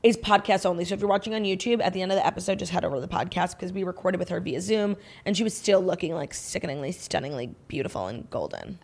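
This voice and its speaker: Hoarse-ish woman podcast cohost, casual chatty, says like a lot, pretty frank. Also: on the low side, animated, fast, few pauses. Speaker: podcast co-host. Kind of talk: casual pop culture chat.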